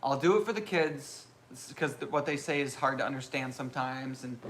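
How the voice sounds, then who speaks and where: A man in his thirties with a loud voice, hosting a casual live question-and-answer chat and rambling with self-mocking humor. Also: mid-range voice, a little fast, slight background noise. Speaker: channel host.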